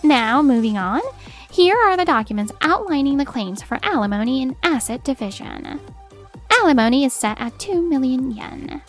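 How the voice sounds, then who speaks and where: A woman playing a composed, steely attorney in a manga dub, speaking formally and with measured assertiveness. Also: high-pitched, lively intonation, faint music behind. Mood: coolly cutting. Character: composed, steely female attorney confronting a husband. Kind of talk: acted manga dub dialogue.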